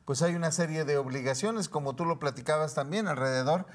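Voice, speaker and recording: A man's voice: a little high, fairly quick. A man with a Mexican accent speaking as a commentator on a panel talk show, explaining legal terms step by step in a didactic way.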